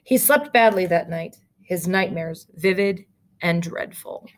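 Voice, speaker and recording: A middle-aged woman with a slightly low voice leads a read-aloud lesson as a teacher. She phrases things deliberately and pauses the reading to explain. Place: small indoor room with photo-covered wall.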